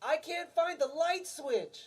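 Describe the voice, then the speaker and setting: Woman doing a clueless cheerful sidekick in a radio skit, high voice, slow and casual. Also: expressive. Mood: breezy, oblivious. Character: cheerfully oblivious female sidekick in a comedy skit.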